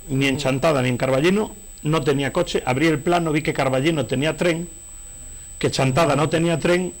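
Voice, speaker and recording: A middle-aged man from Galicia with a Spanish accent, talking as an interview guest in a digressive, anecdotal way and often correcting himself. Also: on the high side. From Galicia, Spain. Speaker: interview guest, painter and novelist. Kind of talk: conversational interview, anecdotal storytelling.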